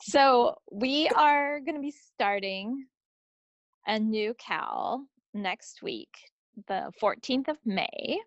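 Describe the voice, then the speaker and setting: Gravelly-voiced woman chatting casually. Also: a little high, animated, slow, dry recording, dull audio. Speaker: co-host of a knitting video podcast. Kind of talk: casual chat.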